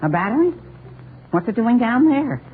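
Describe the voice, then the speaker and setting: A man's voice: extremely high pitch, big pitch swings, normal speed. A man playing an anxious, scheming adolescent boy in American 1940s-style radio comedy. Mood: earnest, flustered. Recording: noisy, narrow-band old radio recording.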